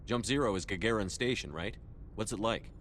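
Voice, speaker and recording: A man acting a steady, approachable military commander in a science fiction video game, direct and composed. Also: fairly low pitch, a little fast, slight background noise, close to an everyday voice. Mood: curious, calm.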